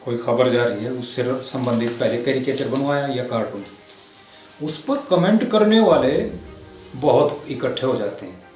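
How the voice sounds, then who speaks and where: A man's voice: mid-range voice, expressive, normal speed, darkish tone. Middle-aged man, roomy echo. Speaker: interview guest, cartoonist. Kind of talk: casual anecdotal interview talk. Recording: roomy echo, music underneath.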